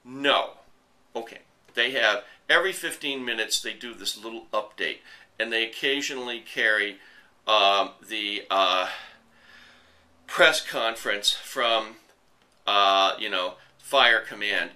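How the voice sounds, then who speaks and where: A man's voice: fairly low pitch, slow pace, sharp tone. A middle-aged American man hosting an online commentary show, delivering an indignant, blunt, off-the-cuff rant to viewers.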